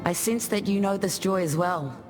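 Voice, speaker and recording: A man voicing an easygoing island warrior in a video game, speaking in a measured, reflective and lyrical way. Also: sits high, over music, lightly acted. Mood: carefree, wistful. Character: easygoing, graceful island warrior spirit who dances through battle. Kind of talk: scripted game character monologue.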